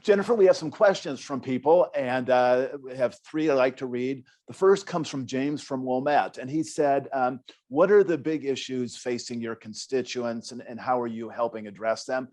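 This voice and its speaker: A man's voice: medium pitch, moderate pace, hardly any pauses, a little mellow. A man interviewing a guest, plainly reading out audience questions and asking follow-ups. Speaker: interviewer, institute director.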